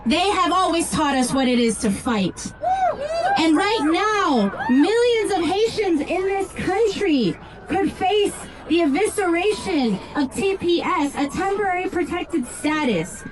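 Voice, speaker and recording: A woman's voice: very high voice, expressive, a little slow, poor audio. A woman emceeing an outdoor rally, fervently introducing the next speaker and urging the crowd on. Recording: crowd and street noise outdoors.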